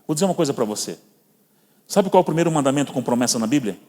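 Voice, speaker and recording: A man with a Brazilian Portuguese accent preaching to a congregation, mixing scripture with personal stories. He speaks at a middle pitch and addresses the listeners directly as brothers. His voice rings with the long reverb of a church hall. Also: expressive, very fast, mellow. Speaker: guest preacher.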